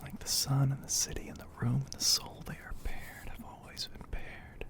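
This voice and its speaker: A man narrating an ASMR story in a breathy, hushed whisper, poetic and full of pauses, close to the microphone. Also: mid-range voice, completely flat delivery. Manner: slow, hushed, poetic delivery with frequent pauses.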